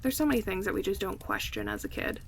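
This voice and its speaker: A woman playing an affectionate, chatty friend in a casual, intimate ASMR roleplay, speaking softly and in a relaxed way. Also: mid-range voice, fast talker, gravelly. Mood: relaxed, friendly. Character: affectionate young woman, cozy longtime friend hosting a night in. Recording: faint background ambience noise.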